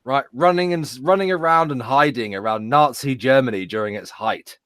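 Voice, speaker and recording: A man co-hosting a casual chat, blunt and quick to exasperated outbursts. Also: medium pitch, fairly bright tone, dry recording, clean studio sound. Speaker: podcast co-host.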